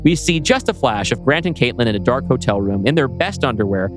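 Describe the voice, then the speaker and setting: A man's voice: medium pitch, animated, brisk. A man narrating a screenplay dramatically as its writer, presenting stage directions and scene changes. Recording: music playing behind voice.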